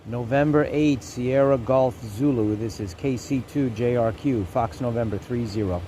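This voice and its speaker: A man's voice: medium pitch, normal speed, heavy background noise. A man hosting a casual amateur radio demonstration, speaking as an operator who gives callouts in the phonetic alphabet. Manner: spells call signs in phonetic alphabet.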